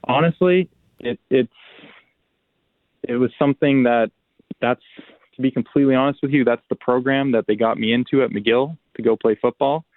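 A young adult man, a football player, answering interview questions by phone in a relaxed, halting way with many restarts and fillers. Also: mid-range voice, no echo. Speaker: pro football player, guest. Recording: tinny phone line.

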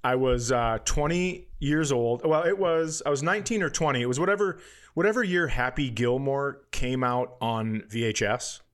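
Podcast co-host, middle-aged man, medium pitch, casual sweary storyteller who acts out quotes. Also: moderate pace. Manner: profane, animated anecdotes with acted-out quotes. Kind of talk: casual comic storytelling chat.

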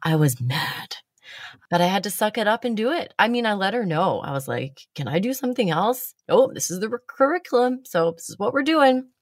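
A woman's voice: medium pitch, very animated, fairly quick, no echo, studio quality. A woman hosting a casual chat, telling stories about herself with blunt, self-mocking humor and the odd swear word.

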